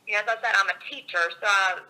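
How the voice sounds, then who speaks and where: A woman's voice: mid-range voice, fairly even intonation, racing, sharp tone. A woman from Georgia, a guest author talking over a call, upbeat and laughing often, her voice a little scratchy from a cold. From Georgia, USA.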